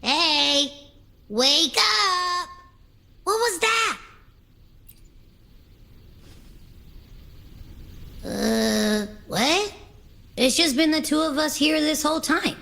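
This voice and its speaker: Excitable young adventurer girl for a video game: high, bright, expressive, measured pace. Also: vocal fry, full character voice. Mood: startled, alarmed. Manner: expressive, exclamatory.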